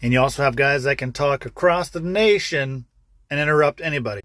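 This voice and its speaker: A man's voice: mid-range voice, brisk, a bit bright, no echo, clean studio sound. A man in his thirties, a truck driver, talking casually to his phone in a truck cab. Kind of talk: casual talk to camera. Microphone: phone at arm's length.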